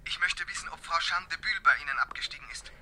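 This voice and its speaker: An actor playing a furtive, hesitant man phoning a hotel in a German radio drama, speaking hushed over the telephone. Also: fairly high pitch, fast, very noisy. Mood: furtive, polite. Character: furtive male caller enquiring after a hotel guest.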